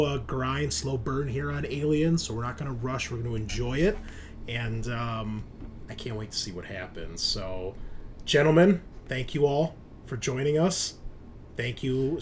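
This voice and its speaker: A man hosting as game master, improvising narration and chat in a deliberate, suspenseful storyteller's way. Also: mid-range voice, slight background noise.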